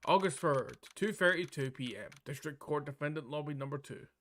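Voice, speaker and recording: A man's voice: a little high, brisk, a bit bright, a little hiss or hum. A man reading a visual novel's dialogue and narration aloud informally, now and then stumbling over the words. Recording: music under the voice. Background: game music.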